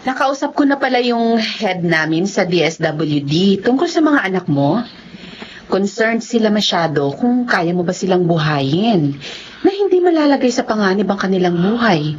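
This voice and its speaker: Casting: woman for earnest, formal Filipino social worker in audio drama; mid pitch, varied melody, faintly noisy sound. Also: big pitch swings, normal speed, natural voice. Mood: serious, official. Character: composed, kindly, authoritative woman social worker. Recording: dull lo-fi audio with light noise.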